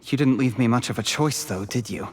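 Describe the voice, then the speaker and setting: Game role male actor: timid young poisoner, mid-pitched, soft-spoken and deferential. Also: brisk, slightly rough, close to an everyday voice. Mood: nervous, meek. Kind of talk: video game voice acting.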